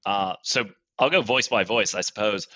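A man with a lowish, bright voice plays the guest critic on a comedy podcast. He gives casual, mock-serious feedback in a dry recording. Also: normal speed, no echo, dull audio.

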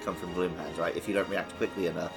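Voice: low-pitched, flat delivery, quick pace, loud music behind the voice. A podcast co-host chatting casually about games and TV, jokey and prone to digressions.

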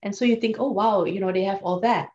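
A woman's voice: mid-range voice, fast talker, a little mellow, a little hiss or hum. A woman, a law lecturer answering interview questions thoughtfully, with frequent fillers and restarts. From Singapore. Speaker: law lecturer, podcast guest. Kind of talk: conversational interview. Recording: dull lo-fi sound.

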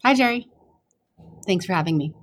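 A young adult woman, born in Taiwan and raised on the US East Coast, answering interview questions as a campaign fundraising director, thoughtful and halting with many restarts. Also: on the low side, animated.

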